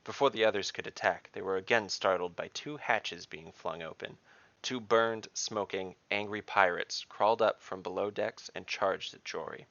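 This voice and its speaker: A man hosting a podcast, reading scripted fantasy narration in a measured, dramatic storytelling manner. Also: on the low side, fairly bright tone, no room sound, lo-fi sound.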